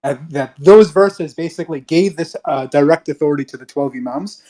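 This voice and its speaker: A man's voice: fairly high pitch, a little fast, warm tone, rough recording. A young man calling into a debate over a video call, explaining his views in hesitant, rambling sentences full of restarts. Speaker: caller.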